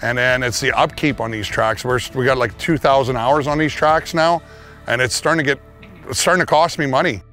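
A man's voice: medium pitch, steady tone, fairly quick, bright, forceful tone. Middle-aged man, down-to-earth farmer, casual outdoor testimonial on a lapel mic, faint music behind. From Canada. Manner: plain-spoken, casual, with restarts. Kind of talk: testimonial interview. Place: outdoors on a farm yard.

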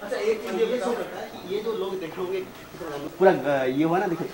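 A high-voiced man explains the rock paintings to a group in a loud, hesitant way, pausing on fillers. His voice rings in a very echoey space. A loud crowd carries on around him. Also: expressive. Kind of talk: on-site explanation.

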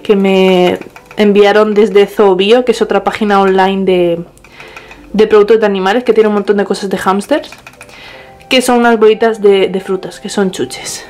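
A woman's voice: medium pitch, darkish tone. A young woman, chatting casually through a home tour, often correcting herself, filmed on a small handheld camera in her bedroom. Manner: chatty, restarts and self-corrections. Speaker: pet vlogger.

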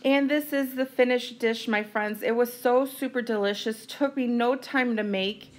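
Woman cooking at home and talking through it, kinda high voice, chatty and easygoing, faint hum behind. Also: steady tone, moderate pace. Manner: friendly, explains steps plainly, with fillers. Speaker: cooking vlogger. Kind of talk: casual cook-along narration. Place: home kitchen.

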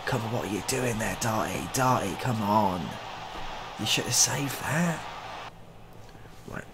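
A man in his thirties with a low, creaky voice comments on a game he is playing. His delivery swings up and down in pitch as he mutters, repeats himself and swears mildly in mock exasperation. It is recorded close in a small room. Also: expressive, measured pace, background noise. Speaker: gaming video creator. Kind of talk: casual joking game playthrough commentary.

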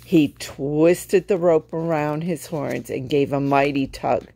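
Female storytime reader, low and gravelly, for children's picture books. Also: slow, dark tone. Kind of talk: children's picture-book read-aloud.

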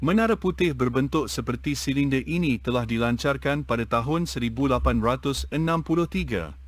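A man reading an educational text aloud in a measured, even way. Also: mid-range voice, dry recording.

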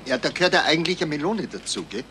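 An actor playing a stout, thrifty, middle-aged police commissioner with a Bavarian accent, grumbling in folksy fashion in a radio crime drama. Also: male voice, fairly high pitch, sing-song, rapid-fire, noisy background. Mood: folksy, unhurried. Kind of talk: 1970s German radio crime drama acting.

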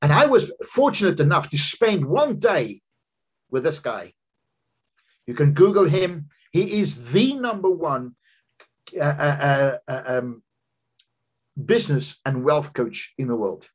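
A man in his fifties delivers a business seminar over a webcam, telling his own story in an earnest, emphatic way. His voice is slightly husky and sits a little high for a man. His pitch swings widely as he pushes his points. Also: very animated, measured pace, pauses often. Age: 50s. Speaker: business coach giving a seminar talk. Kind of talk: seminar presentation over slides. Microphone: video call webcam.

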